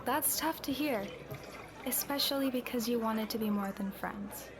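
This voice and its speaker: An actress plays a young female tavern keeper in a fantasy audio drama, blunt yet caring. Her voice sits a little high and her delivery is conversational and emphatic. A clearly audible crowd murmurs behind her. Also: moderate pace, close to an everyday voice. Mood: firm, candid. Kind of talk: conversational roleplay voice acting.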